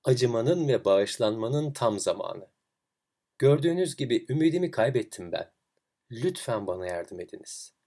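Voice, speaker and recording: A man voicing a weary, humble seeker in a fairy tale, pleading and sorrowful in a halting manner. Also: medium pitch, expressive, dark tone. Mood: pleading despair.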